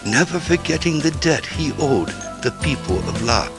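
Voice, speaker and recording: An actor playing a solemn storyteller, giving scripted cinematic narration of a heroic legend for a video game. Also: male voice, on the high side, expressive, moderate pace. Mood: solemn, dramatic. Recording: music behind the narration.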